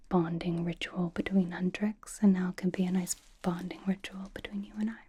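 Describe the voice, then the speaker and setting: A woman's voice: on the low side, dark tone, husky. A woman playing a blunt, doting young pop idol in a whispered ASMR roleplay, with a casual, dry, slangy delivery. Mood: teasing.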